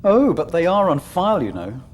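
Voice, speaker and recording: A man's voice: on the high side, sing-song, fairly quick, a little hiss or hum. A man playing a weary, wry village overseer. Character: weary male village overseer, Number Two. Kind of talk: fan audio drama acting.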